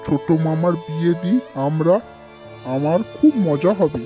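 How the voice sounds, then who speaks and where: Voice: mid-range voice, unhurried, over music, lightly acted. An actor voicing an eager, inquisitive young village boy who loves food, in cartoon dialogue. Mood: eager, pleading.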